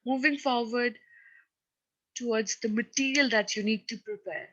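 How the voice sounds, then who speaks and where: A woman's voice: on the high side, moderate pace, a bit bright, dull audio. A woman with a Pakistani accent teaching a recorded lesson, speaking in an instructive, emphatic way and often checking that her listeners follow. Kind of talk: recorded lecture on presentation skills.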